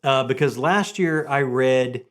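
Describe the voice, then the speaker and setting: A man hosts a book review video in a loose, conversational way. His voice sits at a medium pitch. He tells things like an animated storyteller, often repeating a phrase to drive it home. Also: takes it slowly. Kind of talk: casual conversational book chat.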